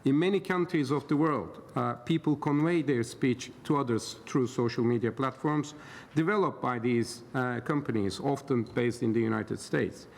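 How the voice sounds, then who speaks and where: A middle-aged man from Turkey giving a conference talk as an expert panel speaker, hesitant and full of filler pauses. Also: medium pitch, creaky. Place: conference hall. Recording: roomy hall reverb, faint background noise.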